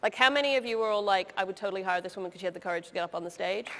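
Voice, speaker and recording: A woman leading a live coaching session on stage, lively, teasing and blunt. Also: mid-range voice, rapid-fire, a faint crowd, a little hiss or hum. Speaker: career coach, workshop leader. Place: conference stage with audience. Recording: long hall reverb.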